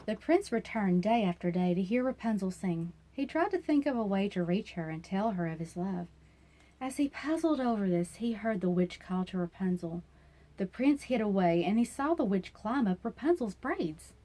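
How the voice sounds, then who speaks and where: A woman's voice: medium pitch, animated, normal speed, warm tone, poor audio. A woman narrating a children's fairy tale as a storyteller, reading aloud expressively.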